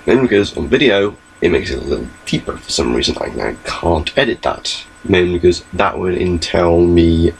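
Low, gravelly young man chatting on webcam. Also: expressive, moderate pace, hardly any pauses, a little hiss or hum, rough recording. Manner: rambling, chatty, gestures a lot. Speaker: vlogger. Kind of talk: casual webcam update. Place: small bedroom, indoors. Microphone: laptop webcam mic.

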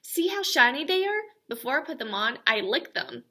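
A bossy little girl of almost six, loud and squealing, pushing her point with high, bright insistence, as a woman puts her on. Read aloud from a storybook. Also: big pitch swings, normal speed, exaggerated voice. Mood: loud, insistent. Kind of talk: children's book read-aloud. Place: school classroom, indoors.

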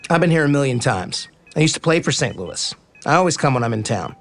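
An actor playing an easygoing, kind, joking baseball player in a naturalistic romantic comedy audio drama. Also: male voice, mid-range voice, sing-song, fast talker, a bit bright, soft background music. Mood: casual, teasing. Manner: casual, joking, frank.

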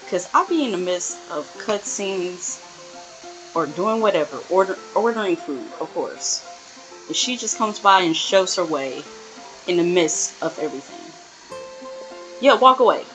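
A woman's voice: lowish voice, big pitch swings, unhurried, a bit bright, low-quality recording. A woman giving casual, slangy gameplay commentary, talking half to herself as she plays. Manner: informal, slangy, muttering to herself. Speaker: gamer, channel host. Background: game music.

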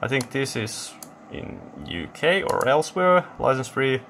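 A man giving a casual technical demonstration, thinking aloud in a halting, matter-of-fact way. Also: medium pitch, big pitch swings, a bit bright, a little hiss or hum. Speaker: electronics hobbyist presenter. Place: indoor electronics workbench.

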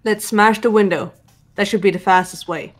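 A woman playing a composed, analytical teenage girl in a visual novel dub, speaking in a calm, matter-of-fact way. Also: mid-range voice, moderate pace, lightly acted. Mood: decisive. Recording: background game music.

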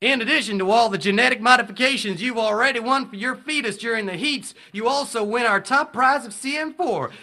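An actor playing a slick, theatrical game show host in a dystopian audio drama. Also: male voice, extremely high pitch, brisk, few pauses, pushed and bright, a little hiss or hum. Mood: showy. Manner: theatrical, gushing announcer delivery.

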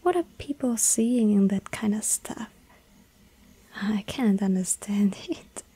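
Woman voicing a hungry vampire girl, breathy whisper, dark mellow tone, slow teasing delivery. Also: mid-range voice. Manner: teasing, giggling. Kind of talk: ASMR roleplay.

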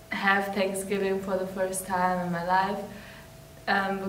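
Flat German-accented teen girl. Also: mid-range voice, echoey room, distant mic, a little hiss or hum, rough recording. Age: teens. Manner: slow, careful phrasing. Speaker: exchange student.

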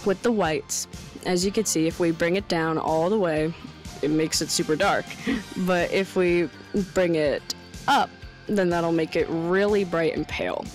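A woman's voice: on the low side, measured pace. A young woman giving an informal, lightly wry tutorial narration. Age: young adult. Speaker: tutorial presenter. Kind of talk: video editing tutorial narration. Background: background music.

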